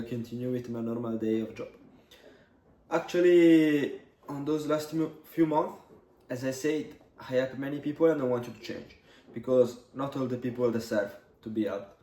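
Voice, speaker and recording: A man in his twenties from Italy, a native Italian speaker, telling a personal story in English for his vlog, halting with many pauses. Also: medium pitch, darkish tone. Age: 20s. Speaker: vlogger. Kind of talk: casual storytelling to camera. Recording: echoey room, mic at a distance.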